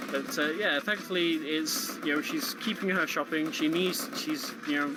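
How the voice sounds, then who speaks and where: A man's voice: fairly high pitch, normal speed, noisy background. A man giving casual, explanatory live commentary on a video game, speaking informally like a speedrun commentator. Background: video game music.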